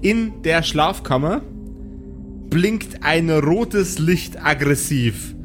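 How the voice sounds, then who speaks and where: A man narrating an improvised pen-and-paper audio story as game master, describing scenes haltingly. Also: a little high, animated, faint music behind.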